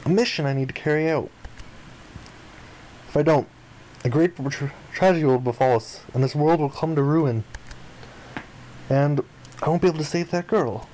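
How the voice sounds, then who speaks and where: A man voicing a flippant, grandiose young amnesiac castaway, reading visual novel dialogue aloud in an expressive way. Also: a little high, moderate pace, long pauses, a little hiss or hum, close to an everyday voice, poor audio. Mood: dramatic, insistent. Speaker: video game player reading aloud. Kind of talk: dramatic read-aloud of visual novel text.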